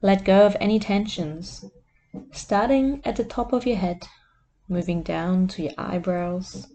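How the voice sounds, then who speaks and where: A woman who hosts a podcast as a life coach, leading a guided meditation in a soft, soothing voice. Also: mid-range voice, unhurried, a little hiss or hum.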